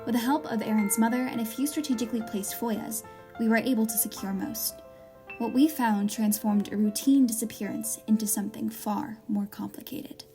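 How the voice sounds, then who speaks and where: An actress plays a serious female investigative reporter with a slightly high voice. She is measured and probing, in the style of a scripted documentary podcast. Music runs clearly beneath her. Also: lightly acted. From Northern Virginia. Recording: music behind the voice.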